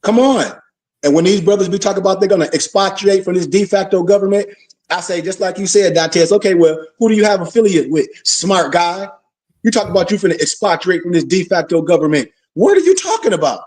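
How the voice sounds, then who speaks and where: A man's voice: high voice, animated, fairly quick, a little mellow, recorded from a distance. A man giving an informal religious lecture, preachy, full of rhetorical questions and call-and-response. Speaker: religious teacher.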